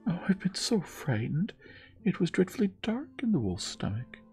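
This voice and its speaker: A man voicing a frightened little girl in a fairy tale, speaking high and in breathy whispers. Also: big pitch swings, unhurried, darkish tone, soft background music. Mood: breathless.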